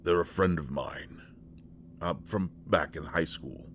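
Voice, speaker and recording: A man's voice: deep voice, a little slow, a little hiss or hum. A man playing a shy, awkward young shapeshifter in an intimate roleplay audio drama, stammering and hesitant as he talks to a close friend. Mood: bashful, reminiscing.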